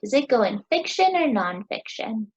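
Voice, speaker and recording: An American woman in her thirties teaching young children, asking questions in a sing-song, encouraging way with deliberate pauses. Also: fairly high pitch, big pitch swings, breathy edge, rough recording. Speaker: teacher hosting a children's story time.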